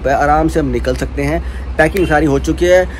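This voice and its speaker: A young man with a sore throat chats casually to his viewers, his voice sitting a bit high for a man. The handheld camera also catches a faint hiss of background noise. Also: moderate pace. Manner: chatty, addresses viewers directly, mixes English words in. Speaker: vlogger. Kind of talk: casual vlog chat to viewers. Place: home and hotel, indoors.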